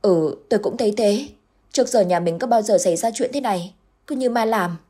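A woman's voice: medium pitch, a little fast, warm tone, husky, pitched above the performer's own voice, close to an everyday voice. A woman voicing a tearful, frightened middle-aged village wife who pleads and stammers.

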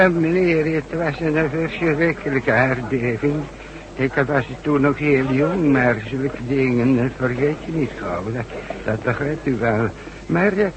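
An actor playing a friendly hundred-year-old village man who rambles and hesitates in a shaky, husky, creaky voice, in a radio drama. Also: on the high side, lightly acted, low-quality recording. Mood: friendly, hospitable. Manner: halting, hesitant, rambling storytelling.

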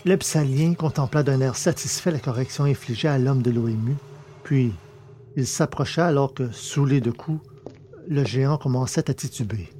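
A man reads out an adventure story in a measured, dramatic storytelling manner. His voice is dark and mellow at a middle pitch. A faint hiss sits under the recording. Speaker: narrator. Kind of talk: scripted audiobook narration.

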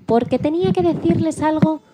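A woman's voice: sits high, a little fast, over music, lightly acted. A woman narrating a children's radio play as a storyteller, reading from a script.

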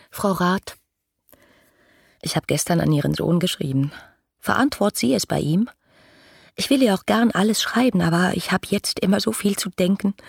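An actress playing an ardent, dreamy young woman in a German radio play, effusive and lyrical in a breathy voice. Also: lowish voice, a little mellow. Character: ardent, dreamy young woman writing rapturous letters about her idol. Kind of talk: German radio play, literary letter monologue.

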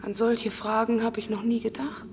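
A young actress playing a gentle, compassionate magician's daughter in a radio play, with a pleading, theatrical delivery. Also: female voice, a little high, flat delivery, normal speed, natural voice. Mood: hushed, timid. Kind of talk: classic German radio play acting. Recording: clear storm noise behind voice.

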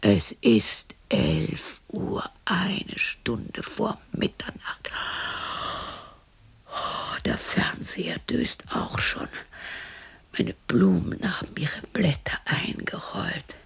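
Whispery, husky older widow. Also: female voice, on the low side, wildly swooping pitch, extremely slow, full character voice. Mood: weary, musing. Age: 60s. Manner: musing, muttering, colloquial. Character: widowed sixty-year-old woman, lonely, defiant, talking to herself. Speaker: radio drama actress. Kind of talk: German radio play monologue.

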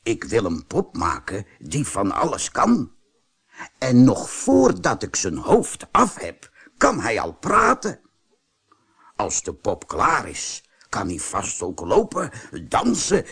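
A lonely, grumbling woodcarver from a children's storybook , played by a man. His husky voice swings widely in pitch and takes its time. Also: slow pace, full character voice. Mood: musing. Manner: muttering, grumbling, expressive. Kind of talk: storybook character voice.

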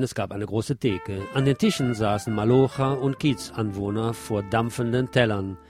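Guy hosting a radio show, medium pitch, reading his script w some guitar music behind. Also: a little mellow. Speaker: radio host.

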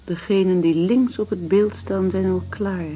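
Radio drama: actress as a restless, earnest young lady of middling pitch, heard on a slightly muffled recording. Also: fairly even intonation, a little slow, soft background music, close to an everyday voice. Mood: curious, observant. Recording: dull lo-fi radio play recording.

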